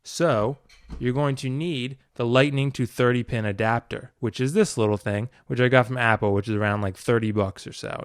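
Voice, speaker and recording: A man's voice: mid-range voice, clear, resonant tone, no echo, clean studio sound. A man hosting a casual, unscripted tutorial, talking informally and a bit ramblingly as he demonstrates. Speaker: video tutorial host, hobbyist. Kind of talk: casual unscripted product walkthrough.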